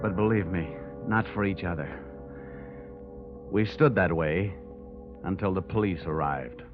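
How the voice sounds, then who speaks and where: An American actor playing a tough, wisecracking, cynical insurance investigator in a vintage radio drama, with wry hard-boiled delivery. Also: male voice, low voice, measured pace. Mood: tense narration. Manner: wry, sardonic. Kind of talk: 1940s radio acting, hard-boiled narration. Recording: muffled vintage radio recording, music behind.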